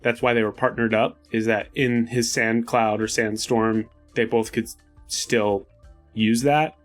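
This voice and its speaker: Guy player in an rpg chat, medium pitch, explaining ideas off the cuff, soft music behind. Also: steady tone, unhurried. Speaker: tabletop game player. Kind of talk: casual collaborative worldbuilding talk.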